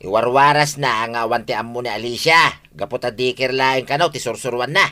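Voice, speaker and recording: A man's voice: medium pitch, brisk, bright, forceful tone, close to an everyday voice. An actor playing a concerned village man who acts as peacemaker in an Ilocano radio comedy drama, urgent and pleading.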